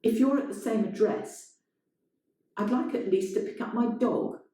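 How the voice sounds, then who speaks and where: A woman's voice: fairly high pitch, unhurried, mellow, pitched above the performer's own voice, lightly acted. A woman reading a story and voicing a pleading, aggrieved man of the theatre with an urgent, protesting manner. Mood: resentful. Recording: very echoey room.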